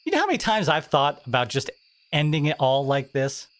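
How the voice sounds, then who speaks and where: A man playing a teenage school bully who is secretly wounded and caring, speaking in a halting, confiding, sardonic way in an intimate audio roleplay. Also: fairly high pitch, huge pitch swings. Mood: earnest, reassuring.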